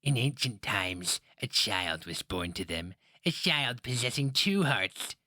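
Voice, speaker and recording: A man voicing a canny old matriarch, husky, scolding and mocking, in a fantasy story reading. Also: fairly low pitch, very animated, a little slow, pushed and bright, no echo, clean studio sound, heavily acted. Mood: lecturing, scornful. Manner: scolding, rueful, teasing.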